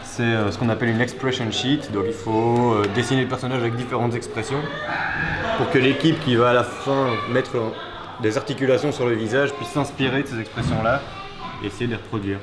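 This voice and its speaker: A man's voice: mid-range voice, steady tone, brisk, over loud music. A young man, a character designer, explaining his work casually with frequent hesitations. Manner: hesitant, many fillers.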